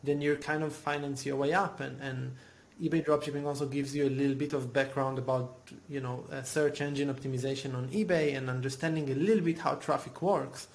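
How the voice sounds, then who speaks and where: A man's voice: mid-range voice, fairly even intonation, brisk. A man hosting an interview podcast, asking eager, leading questions and often restarting his sentences. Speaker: podcast host.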